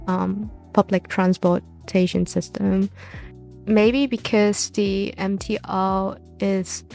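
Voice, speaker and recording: A young woman from Hong Kong, a native Cantonese speaker, hosting an educational podcast in a casual, unhurried way with frequent ums and uhs. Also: mid-range voice, vocal fry, a little mellow. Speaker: podcast host.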